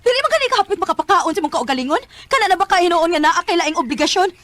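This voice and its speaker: A woman's voice: very high-pitched, animated, very fast, a bit bright, no room sound. An actress with a Cebuano accent playing an emotive, lovelorn young woman in a radio drama. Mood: bitter, confronting. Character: young woman Hope, lovelorn girlfriend turned firm narrator. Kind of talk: Bisaya radio drama acting.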